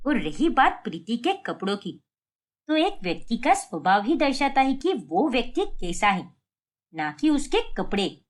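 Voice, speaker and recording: A woman voicing a sharp-tongued, bossy old village matriarch in a cartoon family drama, taunting as she talks. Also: a little high, very animated, moderate pace. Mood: proud, firm.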